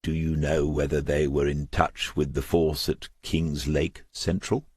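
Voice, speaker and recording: A man's voice: rumbling low, little pitch movement, measured pace, darkish tone, slightly rough, dry recording, heavily acted. A man voicing a shrewd retired detective in a crime audiobook, with a dry, understated, probing manner.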